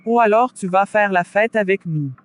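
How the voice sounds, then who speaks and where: A performer playing a worldly but soft-hearted young woman who loves parties, in a scripted animated drama. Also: mid-range voice, normal speed, no echo, close to an everyday voice. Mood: casual, friendly. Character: worldly young female party-goer, wavering, soft-hearted.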